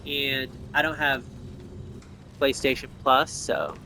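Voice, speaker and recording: A man's voice: medium pitch, expressive, slow pace, gravelly, background noise. A man giving casual gameplay commentary as host, thinking aloud and speculating as he goes. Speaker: gamer host of a gameplay video.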